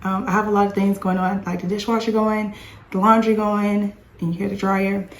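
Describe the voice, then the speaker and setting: Chatty hoarse woman, echoey home. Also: mid-range voice, flat delivery, a little fast, a little hiss or hum. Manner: chatty, rambling with restarts. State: hoarse, losing her voice. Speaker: homeschooling mom vlogger. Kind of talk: casual chatty vlog. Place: home with dishwasher and dryer running.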